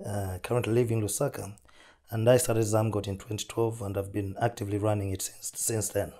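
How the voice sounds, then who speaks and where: A man with a Zambian accent answers interview questions as an entrepreneur, his voice husky as he recovers from flu. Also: lowish voice, level tone, brisk, warm tone.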